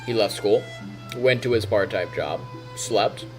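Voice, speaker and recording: A man's voice: lowish voice, unhurried, darkish tone. A man streaming, reading narration from a visual novel aloud and adding casual comments. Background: game music.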